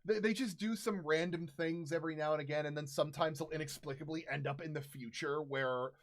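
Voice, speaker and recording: A man in his thirties hosting a casual livestream, telling stories in an animated way and acting out quotes. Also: a little high, brisk. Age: 30s. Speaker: streamer and host.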